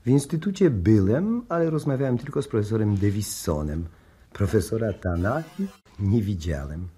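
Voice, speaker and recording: An actor playing a courteous, guarded Japanese embassy diplomat in a radio play, speaking formally and with measured politeness. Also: male voice, on the low side, expressive, mellow, slightly rough. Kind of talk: 1960s Polish radio play acting.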